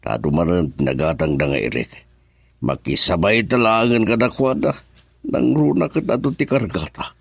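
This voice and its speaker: A man's voice: low voice, moderate pace, gravelly, breathy edge, no echo, full character voice. A man acting in a radio drama as an elderly, patient and caring father, with an Ilocano accent. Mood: calm, resolved.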